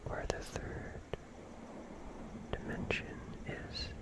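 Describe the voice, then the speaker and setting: An ASMR streamer whispering a musing monologue in halting, drawn-out phrases.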